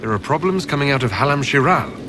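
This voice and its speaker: A man's voice: mid-range voice, lively intonation, fast, bright, forceful tone, slight background noise. An actor playing a commanding, pragmatic male hero in a fantasy video game, speaking directly and with authority. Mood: brisk, inquiring.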